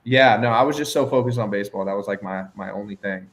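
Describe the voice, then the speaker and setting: A young man chatting casually on a speed date, relaxed and agreeable, often repeating himself. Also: lowish voice, quick pace. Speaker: dating show lead.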